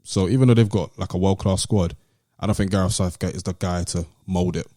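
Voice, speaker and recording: A British man speaks quickly in a mellow, dark tone, with a very dry sound. He hosts a casual podcast and banters in an animated, teasing way. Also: low-pitched, no room sound. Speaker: podcast host. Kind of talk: casual banter among friends.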